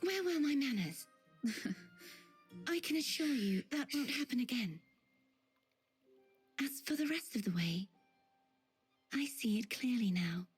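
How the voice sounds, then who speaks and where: A woman playing a polite, earnest young maiden guide in a fantasy video game, speaking softly with courteous formality. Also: fairly high pitch, animated, measured pace, pauses often, full character voice. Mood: courteous, reassuring. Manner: soft, breathy, courteous and formal. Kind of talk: scripted video game voice acting. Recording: music behind.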